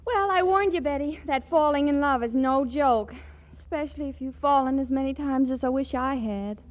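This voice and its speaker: A woman's voice: high-pitched, normal speed, highs cut off, close to an everyday voice. An actress playing a scheming, wisecracking woman friend in a 1940s radio comedy, with a playful delivery.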